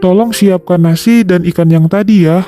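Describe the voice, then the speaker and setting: A woman's voice: fairly low pitch, moderate pace, warm tone, soft background music, lightly acted. A woman voicing a grumpy, tired father with a curt manner in a children's folktale. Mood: firm. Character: stern, grumpy, tired village father and husband.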